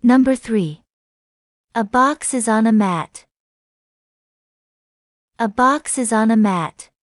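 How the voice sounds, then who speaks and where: A woman narrating an English listening test, reading each item slowly and deliberately twice. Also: on the high side, no room sound. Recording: dry, close studio sound.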